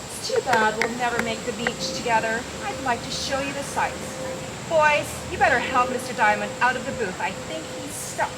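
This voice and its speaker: A woman's voice: a little high, normal speed, close to an everyday voice. An actress playing a menacing, sardonic gangster woman who mocks and taunts, in an old-time radio crime drama. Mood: menacing, mocking. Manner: mocking, coolly taunting. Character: menacing, sardonic female gangster moll ordering thugs around. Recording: very noisy old radio recording.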